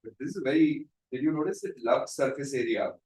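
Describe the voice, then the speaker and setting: A man moderating a session, speaking loudly without a microphone so that his voice is picked up from across the room. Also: mid-range voice, a little fast. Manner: raises his voice to be heard. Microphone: no microphone, voice caught from a distance. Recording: distant, roomy, off-mic voice.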